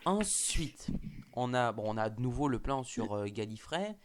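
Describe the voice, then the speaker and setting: A man hosting a relaxed chat among fans, a little stuffy from a cold. He hesitates often, restarts his sentences and fills pauses with "euh", at an ordinary middle pitch. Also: slight background noise. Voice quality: hesitant, many fillers and restarts. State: has a cold. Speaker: host. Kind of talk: casual fan discussion.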